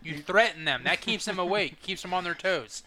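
A man's voice: sits high, normal speed. A man co-hosting a casual podcast, crude and joking, trading banter with friends. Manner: crude, joking, loud bursts of mock yelling. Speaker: podcast co-host.

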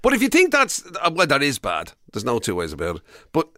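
Expressive Irish man, wry and bemused. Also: mid-range voice, no echo. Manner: wry, bemused, halting with repeated questions. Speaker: radio show co-host. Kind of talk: casual radio banter. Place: radio studio.

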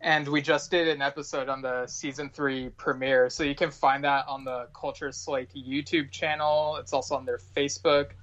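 A man's voice: medium pitch, little pitch movement, moderate pace, few pauses, a bit bright. A man speaking as a podcast guest in casual conversation, on slightly dull, lo-fi audio.

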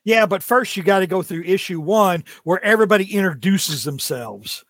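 A man's voice: high-pitched, animated, normal speed, fairly bright tone, no room sound, studio quality. A middle-aged man co-hosting a podcast in casual chat, opinionated and prone to ranting. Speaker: podcast co-host reviewing comics.

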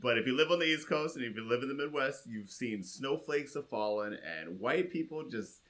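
Male comedy podcast co-host, bright and punchy, casual banter, emphatic and playfully exasperated. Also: medium pitch, expressive, normal speed.

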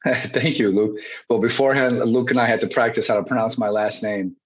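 A man's voice: medium pitch, steady tone, brisk, slightly rough. A man in his thirties from Chicago, telling his own story conversationally as a podcast guest. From Chicago, United States. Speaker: podcast guest, mortgage branch manager and coach. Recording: slightly dull, lo-fi audio.

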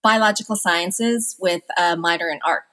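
Middle-aged woman, from the philippines, low-pitched, thoughtful, halting restarts, interview guest. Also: a bit bright. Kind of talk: conversational interview.

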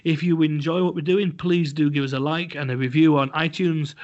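A man's voice: on the high side, steady tone, brisk, darkish tone. A man co-hosting a casual podcast, talking bluntly and rambling with lots of fillers and restarts. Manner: blunt and a little crude. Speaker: podcast co-host. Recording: dull lo-fi podcast audio.

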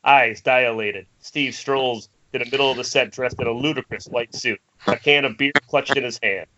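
A man's voice: mid-range voice, normal speed. A man narrating, reading out screenplay stage directions in a table read. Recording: muffled internet call, faint noise.

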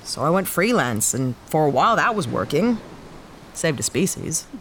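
A woman's voice: sits low, sing-song, moderate pace, a little hiss or hum. A woman voicing a weary, disillusioned former cop turned freelancer, talking in a candid, rueful, self-deprecating way. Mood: confiding. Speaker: lead character. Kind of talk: audio drama acting.

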